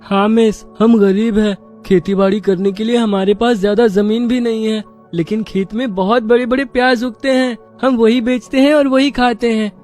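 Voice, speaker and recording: A man's voice: high-pitched, fairly even intonation, moderate pace, darkish tone, faint music behind, lightly acted. A man voicing an earnest, respectful poor village schoolboy in a children's story. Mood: respectful, eager. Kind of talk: children's moral story voice acting.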